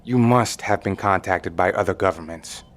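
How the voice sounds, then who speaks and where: A man's voice: low-pitched, moderate pace, close to an everyday voice. A man playing an indignant male survivor in custody who speaks in a skeptical, challenging way, in an audio drama.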